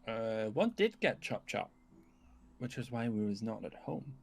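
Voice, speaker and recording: A man playing an evasive, dry-humoured survivor in a zombie game roleplay, speaking in a halting, understated way. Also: lowish voice, sing-song, gravelly, faint music behind, heavily acted. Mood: dry, guarded. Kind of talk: improvised roleplay dialogue.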